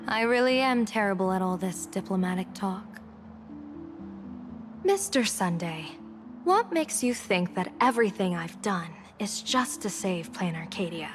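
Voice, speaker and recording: A woman voice acting a shrewd, composed general and diviner in a game cutscene, speaking in a measured, formal, theatrical way. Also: lowish voice. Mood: wry, playful. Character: shrewd, composed female general and diviner, secretly gambling against gods.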